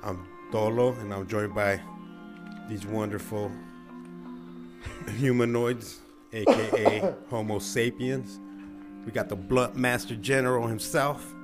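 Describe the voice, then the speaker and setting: Laid-back male podcast host, casual and jokey, clear music bed behind. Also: medium pitch, takes it slowly. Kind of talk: casual chat.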